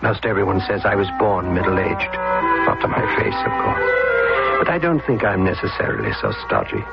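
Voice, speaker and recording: An actor playing a prim, formal 79-year-old society gentleman in an old-time radio drama, with pompous, precise diction. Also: low-pitched, wildly swooping pitch, moderate pace, gravelly, heavily acted. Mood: decorous, proud. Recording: dull old radio broadcast, music behind.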